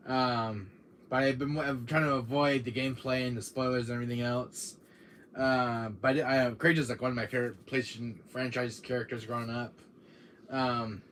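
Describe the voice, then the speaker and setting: A man with a deep voice hosting a casual gaming live stream, rambling with many fillers while talking to his chat, tired from a cold. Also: pushed and bright, slight background noise. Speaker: gaming channel host.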